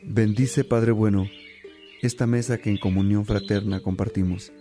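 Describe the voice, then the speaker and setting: A man's voice: lowish voice, fairly even intonation, normal speed, mellow, soft background music, close to an everyday voice. A man playing a devout monk praying solemnly and reverently in a radio drama. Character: devout monk saying grace at table.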